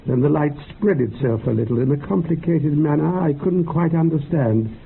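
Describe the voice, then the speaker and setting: Old scientist man in a radio play, really husky, measured storytelling, kinda muffled recording. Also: a little high, animated, full character voice. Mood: measured, reflective. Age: older. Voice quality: gravelly, husky. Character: old male researcher, self-effacing, dryly recounting a meditation experiment. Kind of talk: radio drama monologue.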